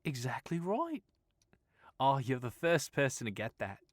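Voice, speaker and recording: A woman's voice: extremely low pitch, big pitch swings, unhurried, dry recording, clean studio sound, voice pushed up, full character voice. A male narrator voicing a friendly, playful young woman with an Australian accent, in an audiobook. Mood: cheerful. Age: young adult.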